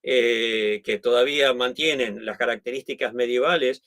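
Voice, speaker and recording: A man's voice: medium pitch, normal speed, a bit bright, no echo, studio quality. A middle-aged man from Argentina with an Argentine Spanish accent, explaining things in conversation with many restarts and tag questions. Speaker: guest.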